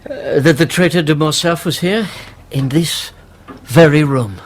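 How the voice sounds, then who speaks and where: A male actor plays an obsequious, scheming baron in middle age. His voice sits slightly high and lingers slowly over stammered, effusive flattery. It is a radio drama scene with faint ambience behind. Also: a little hiss or hum, close to an everyday voice. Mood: ingratiating. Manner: hesitant, fawning, stammering, effusive.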